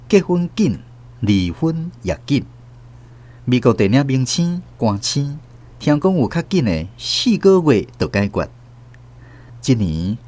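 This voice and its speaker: A man's voice: medium pitch, a little mellow. A man with a Taiwanese accent narrating a radio drama in the first person, as its host and storyteller.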